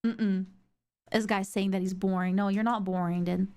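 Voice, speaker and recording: A young woman streamer with a sore throat, chatting excitably and rambling with lots of fillers. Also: mid-range voice, normal speed, smooth. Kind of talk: casual stream chat.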